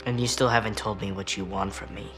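A man's voice: lowish voice, steady tone, fast, a bit bright, faint music behind, lightly acted. An actor playing a wary, cocky, bookish American teenage boy in a naturalistic video game scene, blunt and earnest. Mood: earnest, challenging.

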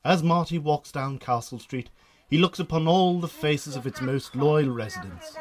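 A man narrating a comic radio drama in a theatrical storytelling style with deadpan asides. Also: on the high side, normal speed.

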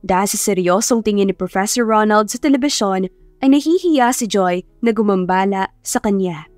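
A woman's voice: medium pitch, normal speed, a little mellow, no echo. A woman narrating a scripted story as an audiobook narrator. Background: background music.

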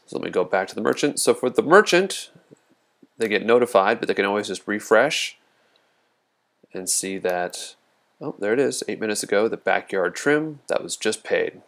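A man presenting a casual software walkthrough as a product demonstrator, explaining things informally step by step. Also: lowish voice, expressive, moderate pace, creaky. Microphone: voice-over recorded over a screen demo.

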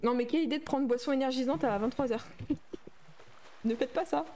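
A young woman chats through a gaming livestream. Her voice sits a little high. She reads and answers viewer messages aloud in an easy, casual flow. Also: fairly quick, mellow, a little hiss or hum. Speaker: streamer. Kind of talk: casual livestream chat while playing a game.

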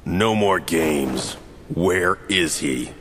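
An actor playing a stern, brooding masked vigilante in a video game, with hard, terse, commanding deadpan delivery. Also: male voice, extremely slow, heavy background noise, heavily acted. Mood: grim demanding. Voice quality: very deep, hard. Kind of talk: video game cutscene acting.

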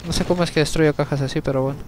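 A young man giving chatty gameplay commentary, talking to himself, swearing at the game and breaking into sudden yells. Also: on the high side, fairly even intonation, racing, noisy background. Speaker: let's play gamer.

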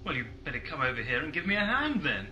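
An actor playing a brisk, wry time-travelling scientist in a science-fiction audio drama, dry and authoritative. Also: male voice, fairly high pitch, big pitch swings, poor audio.

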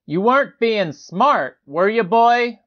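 Stern little male bigot read by a man, high, slow and condescending, literary story, lo-fi sound. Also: expressive.

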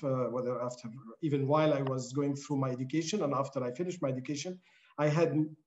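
A middle-aged man from Egypt hosting a video call, answering in a measured, reflective way. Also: fairly high pitch, darkish tone. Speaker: host. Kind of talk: conversational interview answer. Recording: roomy, dull video call audio.

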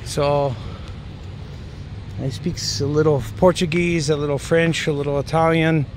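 A man's voice: on the high side, steady tone, measured pace. Rambling man vlogging on a busy street. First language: English. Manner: rambling, musing, frequent "right?". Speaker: travel vlogger. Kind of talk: casual walking commentary. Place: outdoors on city streets. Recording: outdoor street noise. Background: traffic and street noise.

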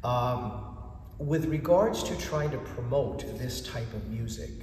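A man in his fifties, a church chanter whose first language is Greek, answering interview questions with animated stories and acted-out dialogue, recorded in a large church interior from a camera at a distance. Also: medium pitch, takes it slowly, a little hiss or hum. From United States. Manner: animated storyteller, acts out dialogue in a raised voice. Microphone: far camera. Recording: echoey church from far off.

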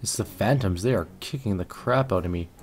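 A man's voice: lowish voice, brisk, heavy background noise. A man giving casual let's play commentary on a video game as he plays. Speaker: gaming video host. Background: game music and effects.

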